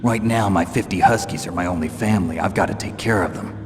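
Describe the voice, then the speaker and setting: An actor playing a gruff, cynical, reluctant ex-soldier in a video game, with a terse, sardonic delivery. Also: male voice, deep voice, fast, natural voice. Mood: reluctant, guarded. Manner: terse, sardonic, world-weary. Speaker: former special forces agent. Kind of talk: scripted video game voice acting. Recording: long reverb, music behind.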